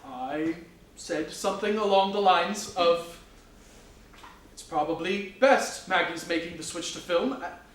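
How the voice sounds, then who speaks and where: A man's voice: high voice, a bit bright. A man playing an earnest, contrite radio actor and husband in a staged reading. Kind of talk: stage acting. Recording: very echoey hall, distant mic, faint noise.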